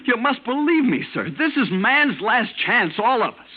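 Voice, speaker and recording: A man's voice: very high voice, very animated, moderate pace, heavily acted. A man playing a frightened lieutenant, telling his strange story intensely in an old-time radio drama. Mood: urgent pleading. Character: frightened military lieutenant recounting a strange captivity, urgent and haunted. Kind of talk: old-time radio drama acting, first-person narration. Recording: noisy, narrow-band old radio recording.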